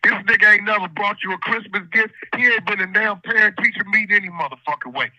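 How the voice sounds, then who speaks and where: Male host, high bright voice, hammering the same question in a heated profane argument. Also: fast, no room sound, highs cut off. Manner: aggressive, profane, repeats questions. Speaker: livestream host.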